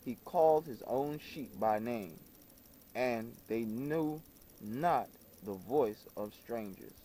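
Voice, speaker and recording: A man reading an old book aloud in a halting way, stumbling over words and repeating phrases. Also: mid-range voice, lively intonation, dark tone, a little hiss or hum. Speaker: reader.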